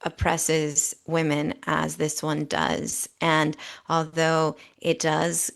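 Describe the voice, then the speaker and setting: Earnest low-voiced woman, unhurried and creaky. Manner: earnest, emphatic, deliberate phrasing. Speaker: podcast co-host. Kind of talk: conversational commentary.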